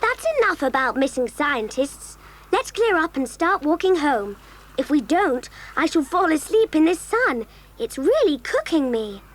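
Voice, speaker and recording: An actress playing an earnest, gentle child adventurer in a children's audio drama. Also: female voice, sits high, sing-song, measured pace. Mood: matter-of-fact.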